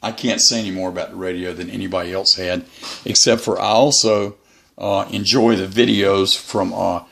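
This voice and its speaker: Deep voiced guy with lots of vocal fry, hosting a hobby video, rambling along off the cuff, kinda halting. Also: fairly even intonation, fairly bright tone. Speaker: host of a shortwave radio hobby channel. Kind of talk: casual unscripted review talk.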